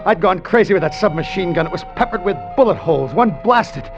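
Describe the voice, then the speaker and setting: An actor playing a scheming sailor gone treasure-mad, in a tense, hard-boiled confessional old-time radio style. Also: male voice, high-pitched, animated, a little fast, dull audio. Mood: desperate. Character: Danny, scheming treasure-mad sailor turned gunman, confessing his crime. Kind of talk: old-time radio drama acting with first-person narration. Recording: vintage radio broadcast with music.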